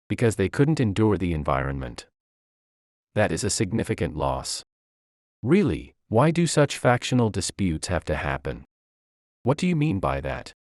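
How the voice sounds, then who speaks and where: A man with a deep voice narrates a scripted story in a measured, formal manner. His pitch moves in a lively way as he reads both narration and dialogue. The sound is dry and close. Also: dry recording. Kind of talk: scripted first-person story narration, read aloud with dialogue.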